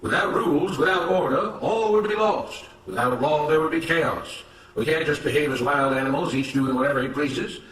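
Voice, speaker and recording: A man's voice: on the high side, moderate pace, a bit bright, voice pushed up, lightly acted. Self-righteous plantation master, acted by a male narrator: oratorical, lecturing, authoritarian, recorded at a distance with faint noise. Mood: stern, righteous. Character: self-righteous, authoritarian plantation boss, man preaching order. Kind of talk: dramatized story reading. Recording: roomy, noisy, distant microphone.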